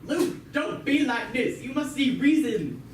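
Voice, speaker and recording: A woman's voice: sits high, expressive, a little slow. A woman playing an earnest, worried comrade pleading for reason in an amateur comic puppet show. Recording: echoey distant recording with clear noise.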